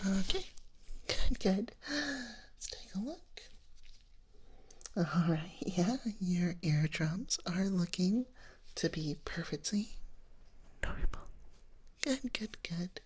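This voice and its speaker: A woman playing a gentle, reassuring dragon nurse in an ASMR roleplay, speaking in a soft breathy whisper with a soothing clinical manner. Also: mid-range voice, animated. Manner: slow, soothing, reassuring clinical bedside talk. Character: gentle reassuring female dragon nurse giving hearing exam.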